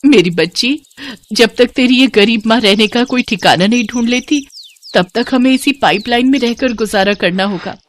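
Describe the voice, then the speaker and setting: A woman's voice: a little high, moderate pace, natural voice. A woman voicing a poor young mother and seamstress who pleads tearfully for help. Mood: pleading.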